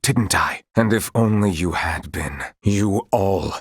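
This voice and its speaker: A noble royal knight commander from a video game, real low husky voice, formal old-timey courtly talk, dry close sound. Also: male voice, no room sound, heavily acted. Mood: grave. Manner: formal, archaic, measured courtly speech.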